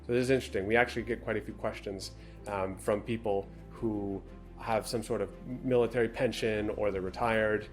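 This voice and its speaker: This is a man co-hosting a relaxed talk show, running through a listener's letter at a fast clip. His voice sits a bit low, and he talks in a brisk, matter-of-fact way. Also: soft background music. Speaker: co-host. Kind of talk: casual podcast chat, reading a viewer letter aloud.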